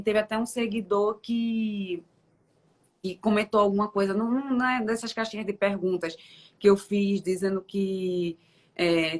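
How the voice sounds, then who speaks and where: Brazilian woman judge, encouraging interviewer. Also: medium pitch. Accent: Brazilian Portuguese. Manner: warm, encouraging, reflective. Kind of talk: conversational interview.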